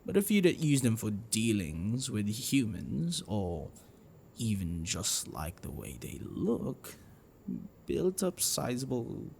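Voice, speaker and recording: A man voicing an affectionate, protective, bashful dragon boyfriend in a soft, breathy, hesitant ASMR roleplay. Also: on the low side, a little hiss or hum, heavily acted. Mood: patient, explaining.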